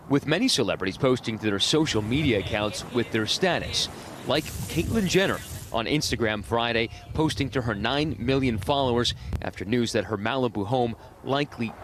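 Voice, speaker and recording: A man's voice: medium pitch, lively intonation, moderate pace, fairly bright tone, slight background noise. A man delivering a broadcast news report as a reporter.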